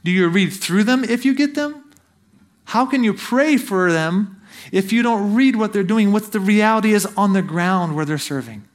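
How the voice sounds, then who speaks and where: A man with a notably high voice delivers a sermon from the pulpit. He grew up in Brazil and speaks as a missionary in his thirties, earnest and exhorting. He keeps turning to his listeners with direct questions. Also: normal speed. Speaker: missionary preacher.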